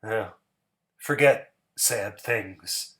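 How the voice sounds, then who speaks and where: A man voicing a tired, gentle husband with a subdued, plain manner in a dramatic story reading. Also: on the low side, drawn-out words, pitched below the performer's own voice. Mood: weary, tender.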